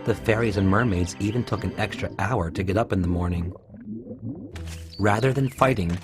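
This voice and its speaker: A man narrating a scripted children's story as a storyteller, in a measured, clearly enunciated way. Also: lowish voice. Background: music.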